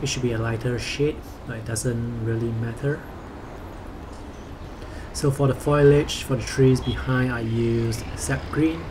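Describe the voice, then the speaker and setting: A man calmly explaining his sketching and watercolor process in a voice-over. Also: medium pitch. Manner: calm, explanatory, unhurried. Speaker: artist narrating his sketching video. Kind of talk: voice-over commentary on painting. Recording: audible background noise.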